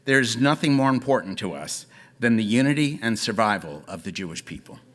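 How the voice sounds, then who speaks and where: A middle-aged man giving a formal, steady prepared speech to guests at a gala. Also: on the low side, a little fast. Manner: formal, steady, dignified delivery.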